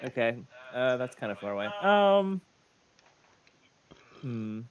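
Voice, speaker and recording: A man's voice: mid-range voice, big pitch swings, takes it slowly. Hesitant man on slightly muffled audio. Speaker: tabletop game player. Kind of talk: casual roleplay. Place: home room on a video call.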